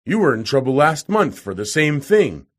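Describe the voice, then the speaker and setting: A man's voice: a little high, sing-song, moderate pace, pitched above the performer's own voice. A man reading aloud who voices a brusque middle-aged policeman. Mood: stern. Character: stern middle-aged male policeman, official.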